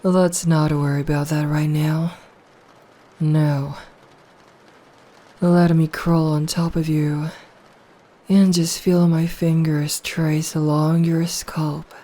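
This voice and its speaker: A woman playing a seductive, possessive female vampire in an intimate ASMR roleplay, speaking softly and breathily in a hushed, teasing, coaxing way. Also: deep voice, little pitch movement, slow, pauses often. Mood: soothing, possessive.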